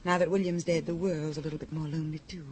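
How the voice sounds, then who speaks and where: An actress playing a lonely widow in an old radio drama, speaking with wounded, wistful sorrow. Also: female voice, sits low, fairly even intonation, fast talker, a little hiss or hum, lightly acted. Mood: sorrowful. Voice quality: wistful, wounded, then sharp. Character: grieving, bitter woman in love with a dead writer. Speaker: widow visiting a detective. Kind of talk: 1950s radio drama acting.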